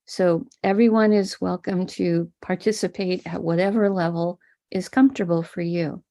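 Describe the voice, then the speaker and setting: A woman with an American accent, gently explaining and guiding a live online ritual as a spiritual teacher. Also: on the low side, steady tone, normal speed. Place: home room in Florida.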